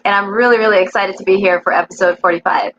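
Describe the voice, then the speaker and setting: A woman from the American East Coast tells her story as a guest, earnest and animated. Her voice sits at a middle pitch. The sound is a little dull and lo-fi, with a faint hiss behind it. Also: fast. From East Coast of the United States. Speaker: guest, cybersecurity educator.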